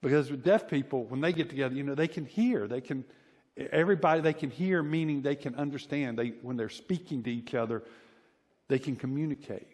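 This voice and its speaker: A man's voice: mid-range voice, brisk, darkish tone. A middle-aged American man with a Southern accent preaches a conversational sermon in a folksy, informal way, repeating phrases for emphasis. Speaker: pastor preaching. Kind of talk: conversational sermon with personal anecdotes. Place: church hall, preaching to a congregation. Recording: reverberant large hall.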